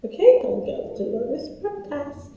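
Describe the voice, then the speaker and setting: A woman teaching an English lesson, talking slowly and playfully, restarting and repeating phrases as she explains. Her voice is dark and mellow yet sits a little high. The sound is very echoey and slightly dull. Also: big pitch swings. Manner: chatty, self-interrupting, repeats phrases. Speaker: online English tutor.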